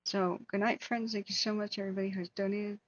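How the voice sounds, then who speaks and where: A woman hosting a wildfire tracking livestream, hoarse from hours of talking, speaking earnestly and answering viewers by name. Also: medium pitch, level tone, quick pace, dull audio. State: hoarse, losing her voice. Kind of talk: casual livestream chat.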